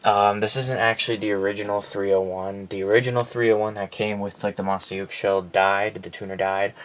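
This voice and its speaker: A man giving a casual, unscripted showcase of his collection, rambling, correcting himself and speaking bluntly. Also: fairly low pitch. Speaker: collector presenting weather radios. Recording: clear background noise.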